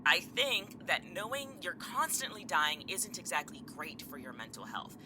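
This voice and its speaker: A man's voice: high-pitched, sing-song, moderate pace, sharp tone, exaggerated voice. An actor playing an evasive, sardonic man with a dry, insistent manner in an audio drama. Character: evasive, sardonic man hiding a grim secret. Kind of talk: naturalistic audio drama acting. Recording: clear ambient noise.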